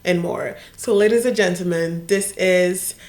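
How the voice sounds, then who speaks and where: A woman's voice: lowish voice, measured pace, darkish tone. Casual young woman host in echoey room. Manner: casual, slangy, stumbles over words. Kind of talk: casual interview.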